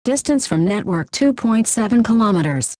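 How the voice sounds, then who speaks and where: A woman voicing a clinical AI implant that reports data in a flat, factual, clipped manner for an audio story. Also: fairly high pitch, moderate pace, no room sound. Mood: impassive. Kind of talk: scripted audio story character.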